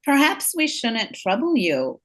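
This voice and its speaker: A woman's voice: fairly high pitch, huge pitch swings, unhurried, fairly bright tone, exaggerated voice. A woman playing a timid, polite wife seeking shelter in a stage play, hesitant and apologetic. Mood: uneasy, hesitant.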